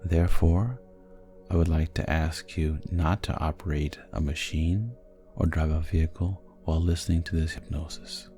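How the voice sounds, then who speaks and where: A man narrating a guided sleep hypnosis in a soothing, gentle and measured manner. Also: a bass voice, fairly even intonation, faint music behind.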